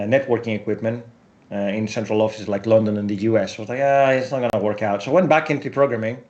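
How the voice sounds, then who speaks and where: Greek native, forties, man, interview guest, storytelling tone, slightly dull audio. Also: medium pitch. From Europe. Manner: storytelling, animated asides. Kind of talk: casual interview.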